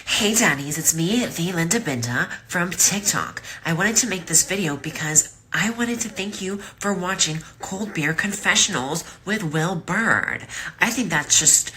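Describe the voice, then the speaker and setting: A woman recording a crude, flirty video shout-out to a show's host. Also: lowish voice, expressive, a little slow.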